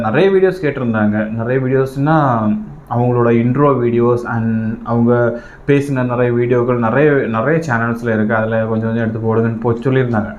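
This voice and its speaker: A man chatting casually to viewers as the host of a reaction channel. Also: medium pitch. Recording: dull, roomy, faint background noise.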